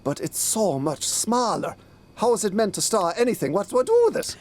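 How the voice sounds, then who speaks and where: A man's voice: high-pitched, expressive, brisk. A man playing a pompous, bewildered middle-aged police detective inspector who is hopeless with technology, in a theatrical comic audio drama style. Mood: confused, smug.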